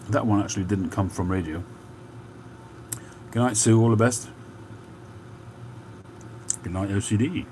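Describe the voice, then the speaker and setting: A man with a Cockney-tinged London accent, chatting slowly and calmly on a livestream with many pauses and fillers. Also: lowish voice, background noise. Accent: London, Cockney with a North London mix. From England. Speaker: livestream host. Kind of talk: relaxed live chat.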